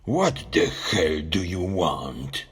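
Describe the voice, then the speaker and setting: A man playing a gruff, suspicious guard in an audio drama, blunt and wary in his colloquial talk. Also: low-pitched, big pitch swings, slow pace, noisy background, full character voice.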